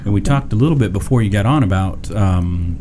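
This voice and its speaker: Low-voiced male podcast host. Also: fairly quick, slight background noise. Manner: relaxed, restarts questions. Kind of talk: casual interview.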